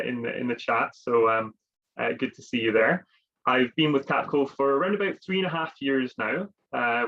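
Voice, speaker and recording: A man's voice: mid-range voice, fairly bright tone, muffled recording. A man hosting a business webinar, speaking conversationally with many fillers and restarts. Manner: conversational, with frequent fillers and repeated words. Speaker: webinar host, consultant.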